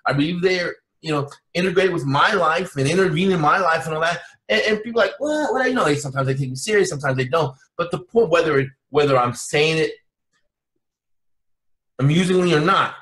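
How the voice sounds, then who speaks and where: A man's voice: high voice, echoey room, rough recording. A man born in Cuba, talking as an interview guest in a loose, rambling way, full of restarts and fillers. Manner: rambling, animated, many restarts. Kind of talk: casual interview chat.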